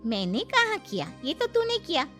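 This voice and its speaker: A woman's voice: extremely high pitch, wildly swooping pitch, normal speed, fairly bright tone, faint music behind, voice pushed up, full character voice. A woman voicing a spiteful, scheming older family friend in a cartoon melodrama, gloating and drawling dramatically. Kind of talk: melodramatic cartoon story voice acting.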